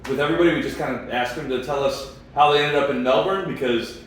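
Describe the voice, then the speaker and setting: A man's voice: medium pitch, fast talker, fairly bright tone, very echoey, far from the mic, slight background noise, rough recording. A man hosting a casual interview, asking loose, stumbling questions full of fillers. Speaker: podcast host.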